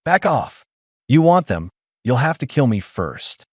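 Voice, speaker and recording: A man voicing a weary, gruff human ex-mercenary who speaks low and clipped. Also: very animated, measured pace, no echo, clean studio sound. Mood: urgent.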